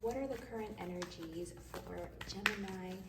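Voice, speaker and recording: A woman's voice: lowish voice, normal speed, creaky. A woman hosting a tarot reading in a casual, chatty, reassuring way, with a slightly stuffy nose from crying. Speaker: tarot reader and host. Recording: distant mic, roomy echo, faint noise.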